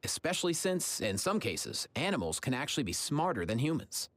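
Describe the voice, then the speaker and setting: An actor playing a friendly, easygoing young adventurer in a video game, with an upbeat, casual, chatty manner. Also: male voice, mid-range voice, big pitch swings, brisk, a bit bright, dry recording. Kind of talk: video game character lines.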